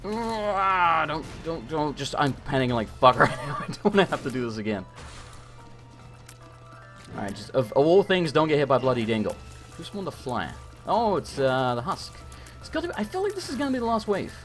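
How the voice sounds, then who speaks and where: A man commentating a live gameplay stream, hoarse and losing his voice, talking frantically and swearing often. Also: fairly high pitch, big pitch swings, normal speed, fairly bright tone, soft background music. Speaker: gaming streamer.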